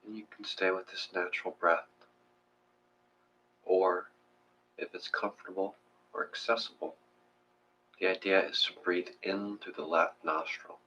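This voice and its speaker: Guy with lowish voice doing guided meditation, gentle and measured, reading it out. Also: level tone, a little hiss or hum, low-quality recording.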